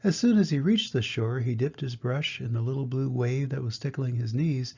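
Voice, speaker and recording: A man's voice: mid-range voice, moderate pace, a little mellow, slightly rough. Older man reading a storybook, muffled sound. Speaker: grandfather reading picture books to children. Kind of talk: storybook read-aloud narration. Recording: dull lo-fi home recording.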